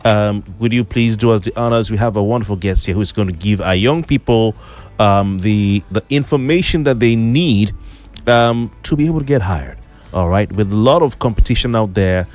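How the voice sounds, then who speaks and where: A low-voiced man in middle age runs a morning radio show. He talks loosely, full of "um" and restarts, teasing his co-host. Soft music plays faintly behind the studio talk. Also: normal speed. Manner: talkative, teasing, many fillers and restarts.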